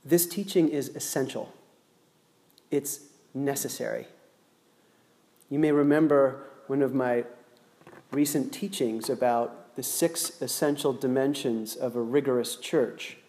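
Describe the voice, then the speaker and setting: Slow, deliberate preacher in a reverberant hall. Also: male voice, medium pitch, mellow. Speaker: pastor. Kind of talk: sermon.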